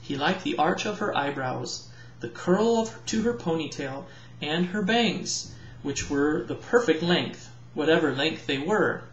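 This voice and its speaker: Man reading a storybook, lively pitch, echoey. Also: a little slow, slight background noise. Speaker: parent reading aloud to children. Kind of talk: storybook read-aloud narration. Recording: roomy echo, slightly dull home recording.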